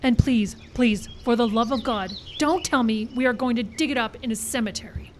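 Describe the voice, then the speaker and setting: A woman's voice: on the high side, normal speed, natural voice. A woman playing an exasperated, sceptical, no-nonsense film team member in a live radio-style comedy, with pointed, commanding delivery. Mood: exasperated, stern. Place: outdoor park stage. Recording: outdoor live show with background noise. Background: birds and outdoor ambience.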